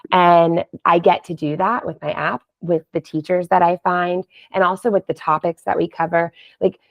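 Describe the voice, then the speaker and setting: A woman's voice: lowish voice, flat delivery, normal speed, dry recording. A woman speaking casually and enthusiastically as an interview guest on a podcast. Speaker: podcast guest, wellness app founder. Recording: slightly dull lo-fi audio.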